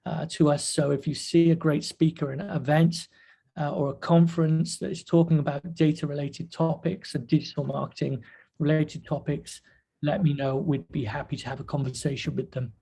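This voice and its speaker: Hoarse male webinar host, slightly high, flat delivery, halting with fillers, dark tone. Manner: halting, many fillers and restarts. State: hoarse, nearly losing his voice. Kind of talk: casual webinar talk. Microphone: webcam video call.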